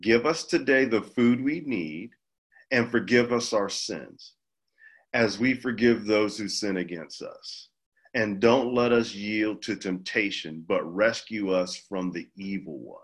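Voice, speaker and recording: A man speaking as a pastor, giving an informal Bible teaching in a measured, halting way with pauses mid-phrase. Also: on the low side. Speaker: pastor leading a church group.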